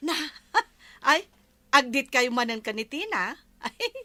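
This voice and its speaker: Bright, high-voiced bossy village woman. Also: big pitch swings, slow, no room sound, full character voice. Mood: teasing, nosy. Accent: Filipino, Ilocano. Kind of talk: Ilocano radio comedy-drama acting.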